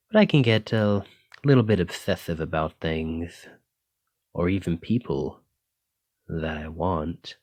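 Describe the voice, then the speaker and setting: A man's voice: low-pitched, a little mellow, no room sound. A man playing a flirtatious, slightly possessive dragon-blooded librarian in an intimate, teasing ASMR romance roleplay. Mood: earnest, confiding. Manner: intimate, teasing, uses pet names.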